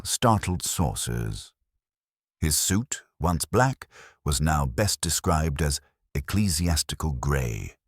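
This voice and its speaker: Very deep, husky male storyteller. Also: no room sound, clean studio sound. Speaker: narrator. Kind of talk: dry literary mystery story narration.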